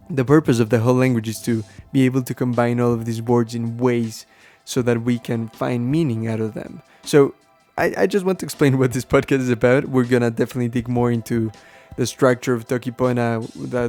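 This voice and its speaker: A man whose first language is Spanish, explaining things casually and haltingly as a podcast host, thinking aloud as he goes. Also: mid-range voice, fairly even intonation, a little mellow, faint music behind. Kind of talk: casual unscripted explaining to listeners.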